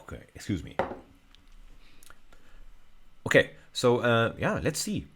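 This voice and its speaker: A male lecturer with a rough voice, thinking aloud through a recorded lesson with many fillers and restarts. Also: mid-range voice, wildly swooping pitch, moderate pace, a bit bright, slight background noise. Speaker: university lecturer. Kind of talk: recorded video lecture with screen demo.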